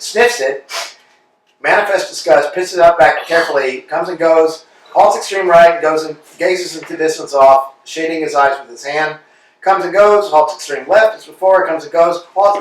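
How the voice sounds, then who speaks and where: A man reading stage directions aloud for a staged play reading, brisk and matter-of-fact, recorded in an echoey room from a distance. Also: a little high. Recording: echoey room, mic far off.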